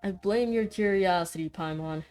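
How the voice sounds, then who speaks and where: A woman's voice: lowish voice, normal speed, warm tone, clear, resonant tone, faint music behind. A woman streamer doing a casual playthrough, reading game dialogue and reacting to it.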